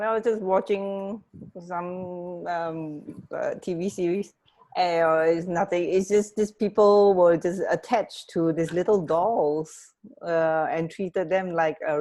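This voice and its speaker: Female radio co-host, lowish voice, informal chat, musing and a little hesitant. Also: fairly even intonation, slow, warm tone. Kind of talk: casual chat.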